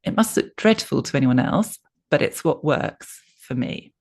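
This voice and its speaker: Low-pitched woman reading an article aloud. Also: animated, moderate pace, creaky, a little mellow. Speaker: reader of a written article. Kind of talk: scripted narration, read-aloud advice article.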